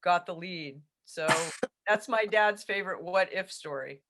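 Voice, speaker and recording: A woman telling a personal anecdote on a video call, deliberately, quoting the people in it. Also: on the low side, a crowd in the background. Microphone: video call microphone.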